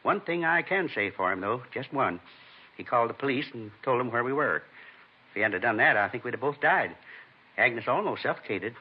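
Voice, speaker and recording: An actor playing a shaken, indignant man of fifty-two in a vintage radio drama, with an American accent and halting, earnest, plain speech. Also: medium pitch, animated, breathy edge. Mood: distressed, bitter. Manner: halting, earnest, plain-spoken, repeats himself. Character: shaken, indignant fifty-two-year-old husband, a robbery victim. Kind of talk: 1950s radio acting. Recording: muffled, noisy old radio recording.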